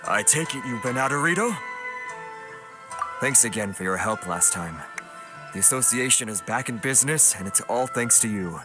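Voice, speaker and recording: A man's voice: mid-range voice, animated, normal speed, long pauses, music in the background, lightly acted. A man voicing an anxious foreign trader in a video game, full of sighs and complaints. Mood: relieved. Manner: sighing, fretful complaining. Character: anxious, put-upon male foreign trader. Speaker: foreign merchant.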